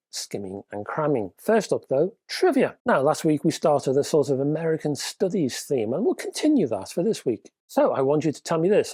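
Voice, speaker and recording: A man's voice: on the high side, mellow, no room sound. A man with a stuffy, nasal voice from a cold, presenting a casual hi-fi news roundup with dry, wry humor.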